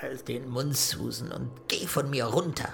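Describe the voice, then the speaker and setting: An actor playing an odd, surly small-town mechanic in a crime radio play, dry and curt in speech. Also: male voice, mid-range voice, measured pace, creaky, a bit bright. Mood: cowed, resigned. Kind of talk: radio drama dialogue.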